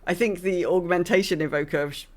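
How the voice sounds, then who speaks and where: A woman's voice: on the low side, fairly quick. A woman co-hosting a podcast, chatting casually about video games in an informal, opinionated way, with some mild swearing. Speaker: podcast co-host.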